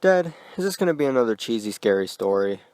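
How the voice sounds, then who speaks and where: A man voicing a skeptical, tough-talking ten-year-old boy in a raised, quick voice, recorded close and dry. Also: medium pitch, very animated, a little mellow, no room sound, natural voice.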